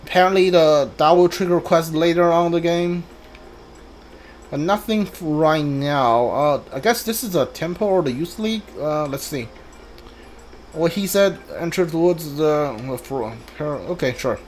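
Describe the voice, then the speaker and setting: A man giving casual video game commentary, thinking aloud in a halting way and reading bits out as he goes. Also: fairly high pitch, a little hiss or hum. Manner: halting, mutters to himself, reads guide and subtitles aloud.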